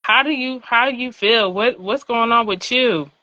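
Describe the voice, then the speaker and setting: A woman's voice: a little high, moderate pace, pushed and bright, highs cut off. A woman hosting a live-stream series, upbeat and announcer-like, laughing easily with her guest. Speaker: host. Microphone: phone on a live stream.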